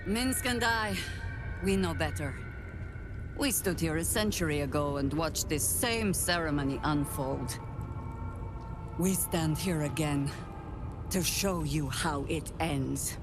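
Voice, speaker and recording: A woman's voice: low voice, slow pace, pauses often. A woman playing a battle-worn, defiant veteran warrior in a video game, with stern, declamatory delivery. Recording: music behind the voice.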